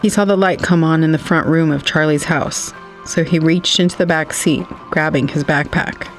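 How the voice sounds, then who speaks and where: Male narrator, slightly high voice, very level intonation, scripted storytelling. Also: steady tone, moderate pace, rough recording. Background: faint music.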